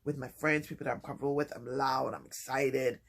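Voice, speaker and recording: Soft, halting man with a gravelly voice. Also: little pitch movement. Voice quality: low voice. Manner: soft-spoken, halting, many pauses and restarts. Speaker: vlogger. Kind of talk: personal vlog, reflective talk.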